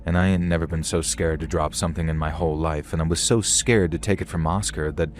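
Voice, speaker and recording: Male narrator, low voice, calm and steady storytelling, faint background hiss. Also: fast talker. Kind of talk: scripted horror story narration.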